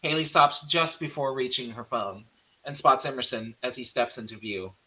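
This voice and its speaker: A man's voice: mid-range voice, moderate pace, bright, forceful tone, poor audio. A man narrating stage directions from a script at a virtual table read.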